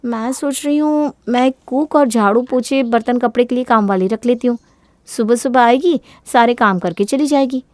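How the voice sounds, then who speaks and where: Woman playing a sharp-tongued, high-voiced young wife. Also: moderate pace, no room sound, natural voice. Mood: persuasive, demanding. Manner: sharp-tongued, demanding, argumentative. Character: self-centred, smooth-talking young married woman, bossy and defiant.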